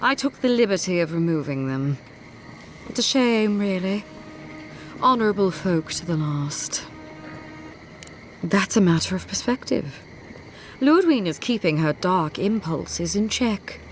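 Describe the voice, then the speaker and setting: A woman playing a smug, zealous inquisitor's agent in a fantasy game, speaking in a measured, formal and condescending way with courteous menace. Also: mid-range voice, animated, soft background music, natural voice. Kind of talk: scripted fantasy game dialogue.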